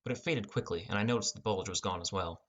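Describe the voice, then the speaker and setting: Low-voiced young man narrating fantasy. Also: fast, a bit bright, breathy edge, highs cut off. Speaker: audiobook narrator. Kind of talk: first-person scripted fantasy narration.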